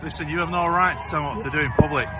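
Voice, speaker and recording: A man's voice: fairly high pitch, rapid-fire, crowd noise. A man argues with police while filming them outdoors, challenging them and repeating their questions back. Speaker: street auditor filming police. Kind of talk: confrontational street argument. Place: busy outdoor public promenade. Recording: outdoor noise on handheld camera.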